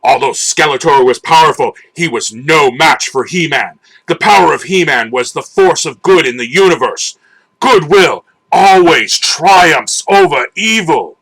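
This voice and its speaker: A man giving a dramatic, theatrical and deliberate scripted narration of a children's storybook. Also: on the high side, lively intonation, fairly bright tone, slightly rough, poor audio.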